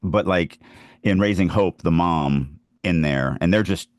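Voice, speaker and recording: Male podcast co-host, deep voice, very dry sound, conversational talk about writing craft. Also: a little slow, no echo. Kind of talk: casual discussion of writing craft.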